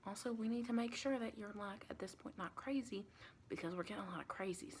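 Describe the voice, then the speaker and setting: Woman acting a sweet Southern US vampire lady, soft ASMR delivery with whispering, folksy, rambling, doting, medium pitch, faint background noise. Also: fast talker, close to an everyday voice. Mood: chatty.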